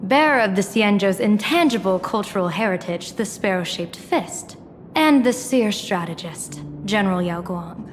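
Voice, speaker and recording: A woman's voice: medium pitch, lively intonation, moderate pace, background noise, close to an everyday voice. A woman playing a playful, self-assured general and fortune-teller in a video game, speaking in a ceremonious, flowery manner. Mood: grand, ceremonious. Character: grandiose, playful female general and fortune-telling strategist. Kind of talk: scripted game voice acting. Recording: long reverb, background music.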